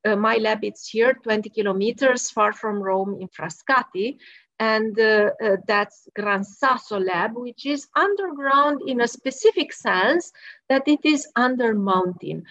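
A woman's voice: on the high side, unhurried, a bit bright. A woman, an experimental physicist giving a friendly, explanatory talk over slides from her office, with wry asides. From Romania. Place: her office at work, in the evening. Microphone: video call. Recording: dull lo-fi video call audio.

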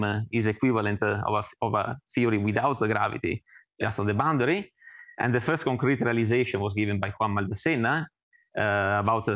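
A man from Italy with an Italian accent gives an informal research presentation as an academic, speaking haltingly with many filled pauses. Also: fairly low pitch, fairly bright tone, no echo, highs cut off. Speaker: academic researcher introducing himself and his work.

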